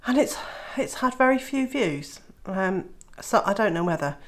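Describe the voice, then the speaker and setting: A woman's voice: medium pitch, expressive, moderate pace. A woman vlogging casually about her homestead while she has a cold, sounding nasal and throaty, with lots of filler sounds. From Wales. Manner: chatty, many uh fillers. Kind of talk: casual vlog to camera.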